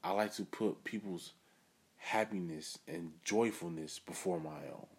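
A man's voice: sits low, a little slow, husky. A man hosting his own podcast, giving an earnest, self-assured motivational monologue. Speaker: podcast host.

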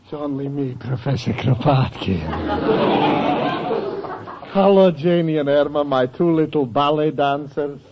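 An actor playing an impish older European professor, affectionate and teasing, delivering theatrical punchlines in a 1940s radio comedy. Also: male voice, on the high side, measured pace, pauses often, over a noisy crowd. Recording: dull, noisy vintage radio recording, studio audience.

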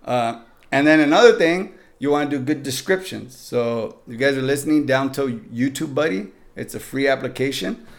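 A man's voice: mid-range voice, normal speed, vocal fry. A man with a Mexican accent, a podcast guest giving upbeat, joking tips straight to the listeners. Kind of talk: casual podcast chat.

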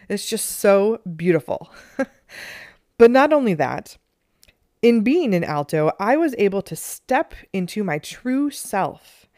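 A middle-aged woman with a low, soothing voice, hosting a meditation podcast in a gentle, earnest solo talk. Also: dry recording, studio quality. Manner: gentle and earnest, with light laughs.

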